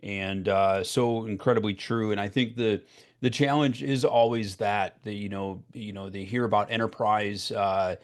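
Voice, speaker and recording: A man with a fairly low voice hosts a vendor pitch session over a video call. His talk is casual and halting, full of "you know" and restarts. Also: steady tone. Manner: filler-heavy, halting with many "you know"s. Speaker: webinar host.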